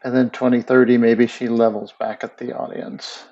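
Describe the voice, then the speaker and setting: A man teaching animation in a screen-recorded tutorial, thinking aloud in a relaxed way as he works. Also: mid-range voice, normal speed, dull audio. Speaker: animation instructor.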